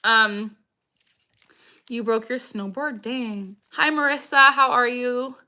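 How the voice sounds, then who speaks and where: A woman's voice: a little high, takes it slowly, sharp tone. A young woman in her twenties hosting a casual livestream Q&A. She reads viewer questions aloud and greets people by name, with frequent vocal tics and bursts of laughter. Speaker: livestream host.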